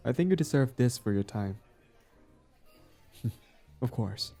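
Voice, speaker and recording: A man acting out a smug but smitten villain on a date, smooth-talking and casual, in an intimate audio roleplay. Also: medium pitch, expressive, takes it slowly, warm tone, faint music behind. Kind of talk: intimate scripted boyfriend-style audio roleplay.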